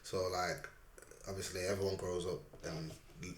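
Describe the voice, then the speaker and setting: A man's voice: low-pitched, level tone, moderate pace. A man with a Sheffield accent, a rapper chatting casually in an interview, laid-back and full of fillers. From Sheffield, England. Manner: laid-back, lots of fillers and repeated "obviously". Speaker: rapper guest. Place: recording studio. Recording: roomy studio with faint noise.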